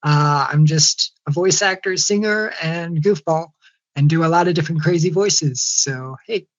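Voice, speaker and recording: A man's voice: on the high side, normal speed, no echo, highs cut off. A man voice actor and singer introducing himself in a goofy, hesitant way. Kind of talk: casual podcast chat.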